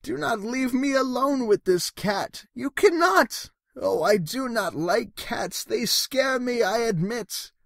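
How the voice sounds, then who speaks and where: Man playing small female storybook fish, high-pitched, lively, anxious, protesting, insistent. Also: slow pace, gravelly, few pauses, no room sound, heavily acted. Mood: frightened pleading. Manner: fretful, insistent, exclaiming.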